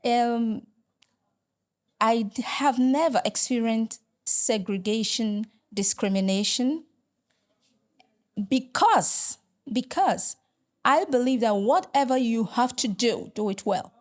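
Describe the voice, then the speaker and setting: A middle-aged Nigerian woman, Igbo her first language, a religious sister and lecturer answering interview questions in a measured, hesitant way, with many fillers and restarts. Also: on the high side.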